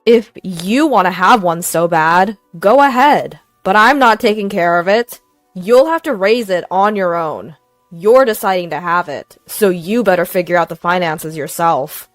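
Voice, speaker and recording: A woman's voice: on the low side, animated, measured pace, no room sound, soft background music. A woman voicing a callous, irresponsible husband in a narrated story, brusque and dismissive. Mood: brusque, cold. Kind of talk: acted dialogue in narrated story.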